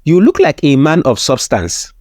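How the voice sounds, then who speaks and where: A storyteller plays a cunning female demon from a folktale, posing as a seductress. She talks softly and coaxingly with melodic swings, very close and dry. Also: medium pitch, huge pitch swings, normal speed, no room sound. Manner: soft, coaxing, smooth-talking.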